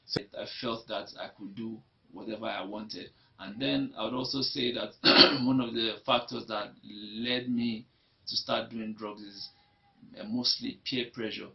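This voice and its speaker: Nigerian guy telling his story, gravelly voice, repeats himself a lot. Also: on the low side, fairly even intonation, unhurried. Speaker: interviewee. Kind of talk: personal testimony. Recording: dull lo-fi recording.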